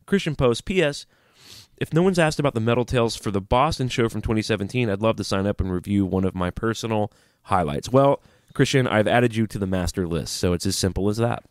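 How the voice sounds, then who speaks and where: A man's voice: on the low side, a little fast, dry recording, studio quality. A man co-hosting a casual podcast with a stuffy nose, joking around and reading listener emails aloud. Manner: joking, breaks into singing. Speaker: podcast co-host. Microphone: studio microphone, preamp talk.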